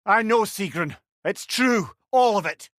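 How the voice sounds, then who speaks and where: Lively Scottish talking head, Mimir. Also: male voice, sits high, unhurried, no echo, clean studio sound. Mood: earnest, sombre. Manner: wry, eloquent, animated storytelling. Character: Mimir, witty talking severed head, old wise counsellor. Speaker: companion character in an action game. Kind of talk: video game character voice lines.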